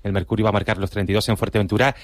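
Newsreader, man, low voice, fast crisp bulletin, dry studio sound. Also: flat delivery, no echo. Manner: crisp, brisk delivery. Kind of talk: news bulletin reading.

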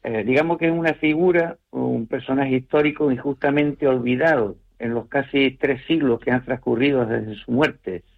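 Measured novelist on muffled radio. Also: male voice, mid-range voice, vocal fry. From Canary Islands. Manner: measured, thoughtful, frequent hesitations and tag questions. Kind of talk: radio interview answers.

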